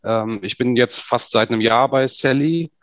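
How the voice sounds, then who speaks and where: A man's voice: medium pitch, normal speed, dry recording. A man, a guest on a podcast, who speaks hesitantly with many fillers. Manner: hesitant, filler-heavy speech. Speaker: key account manager guest. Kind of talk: podcast interview. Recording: dry, dull lo-fi sound.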